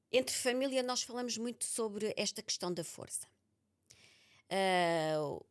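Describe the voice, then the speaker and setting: A woman with a European Portuguese accent speaking candidly and reflectively as the guest in a personal interview, often repeating words for emphasis. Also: mid-range voice. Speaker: podcast author being interviewed.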